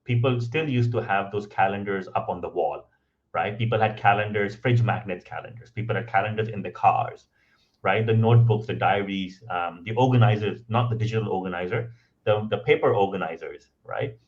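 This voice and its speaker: A man speaking English as a second language, guesting on a podcast and telling his story in a relaxed, conversational way, with many ums and "right?" tags. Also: lowish voice, a little fast, muffled recording. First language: not English. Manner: frequent ums and restarts, tag questions of "right?".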